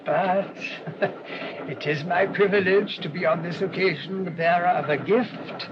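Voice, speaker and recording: Humble, high-voiced English parish priest. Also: male voice, unhurried. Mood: humble, chatty. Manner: mild, hesitant, formal and wordy. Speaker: actor in a radio drama. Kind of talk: radio play acting. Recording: dull, noisy old radio recording.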